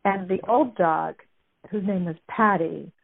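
Husky woman on a tinny line. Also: on the low side, measured pace. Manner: chatty, amused, many fillers. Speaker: guest discussing a book. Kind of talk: casual retelling of stories.

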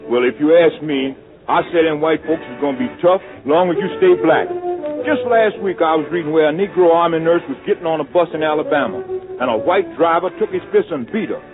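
A man's voice: fairly high pitch, brisk, natural voice. An actor playing a bitter, skeptical man arguing in colloquial, sardonic speech in a 1940s radio drama. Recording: tinny old radio with music behind.

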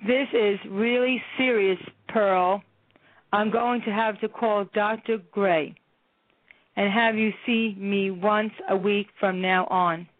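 A woman acting a stern female counselor and therapist in a radio play, speaking slowly and firmly. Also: medium pitch, steady tone, no echo, natural voice. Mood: concerned. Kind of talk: amateur radio play reading. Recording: tinny phone-line radio broadcast.

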